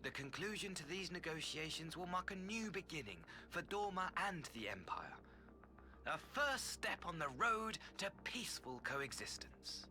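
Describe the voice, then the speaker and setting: A man's voice: sits high, sing-song, normal speed, sharp tone, heavily acted. A man playing a smug, scheming imperial ambassador in a video game, with silky, theatrical mock politeness. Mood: false courtesy. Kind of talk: dramatic video game acting.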